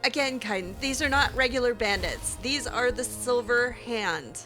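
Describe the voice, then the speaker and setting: A woman's voice: fairly high pitch, normal speed, pushed and bright. A woman playing a sarcastic, determined female adventurer in a conversational, opinionated way, as an in-character game roleplay. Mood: determined, casual. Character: sarcastic, clever, determined female adventurer who hates cruelty. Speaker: let's play host, role-playing in character. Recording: game music behind the voice.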